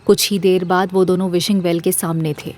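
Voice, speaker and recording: A woman's voice: on the low side, level tone, fairly quick, a little mellow, a little hiss or hum. A woman narrating a scripted audio drama as its storyteller.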